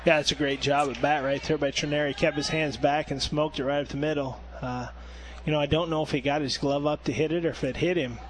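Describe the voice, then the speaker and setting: A man giving easygoing color commentary on a baseball radio broadcast, with a soft-spoken manner. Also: on the high side, steady tone, fast, few pauses.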